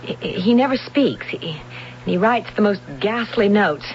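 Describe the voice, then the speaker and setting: An actress playing an anxious, soft-hearted wife in an old radio drama, hesitant and stammering with worry. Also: female voice, mid-range voice, expressive, measured pace. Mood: fearful, shaken. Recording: dull, noisy old radio recording.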